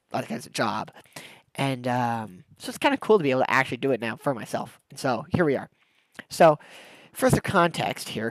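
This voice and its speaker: A man's voice: medium pitch, no room sound. A young man chatting casually on a game livestream, rambling in a laid-back, slangy way with a congested, nasal voice from a cold. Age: young adult. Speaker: game streamer. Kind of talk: casual livestream chat while gaming.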